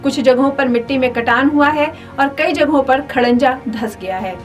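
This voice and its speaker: Female news presenter, high voice, scripted bulletin delivery with music underneath. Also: normal speed, recorded from a distance.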